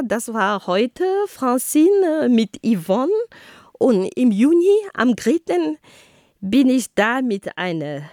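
A woman's voice: mid-range voice, no room sound. A woman co-hosting a radio talk show, her voice sitting in a middle register. She explains her points earnestly with everyday examples. The sound is dry and close, with no room around it. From Cameroon. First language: Homala.

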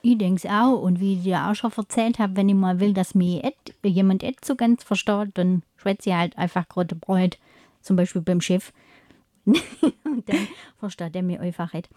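A woman's voice: medium pitch, normal speed, dark tone, no echo, studio quality. A woman interviewing a guest in a friendly, chatty way. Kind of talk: casual interview chat.

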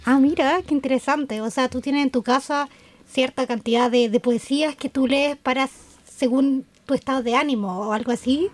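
A woman with a Chilean Spanish accent and a high voice hosts a relaxed chat. She asks curious questions and keeps the talk going with quick affirming sounds. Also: no room sound. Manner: curious, asks follow-up questions, many backchannels. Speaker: host. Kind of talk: casual podcast conversation.

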